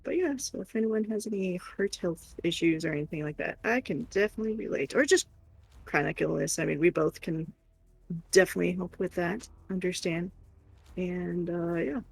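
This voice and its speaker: Creaky-voiced woman chatting casually on stream. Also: fairly low pitch, moderate pace, poor audio. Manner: candid, rambling, informal. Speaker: livestream co-host. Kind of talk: casual livestream chat.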